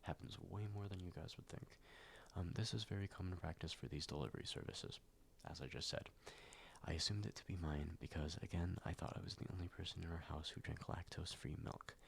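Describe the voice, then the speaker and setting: Young male ASMR reader, very low and gravelly, congested and a bit nasal, soft and hesitant with frequent whispers. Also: a little hiss or hum. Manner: soft, slow, many ums and likes, drifting into whispers. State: stuffed up, slightly nasal after a cold. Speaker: ASMR YouTuber. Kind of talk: soft-spoken ASMR reading.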